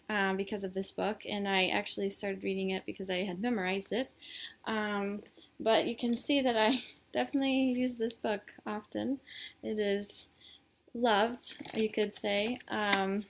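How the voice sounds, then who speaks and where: A woman's voice: mid-range voice, gravelly. A young woman from Illinois, a college student whose first language is Polish, giving a class presentation with many ums and restarts. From Illinois, United States. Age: young adult.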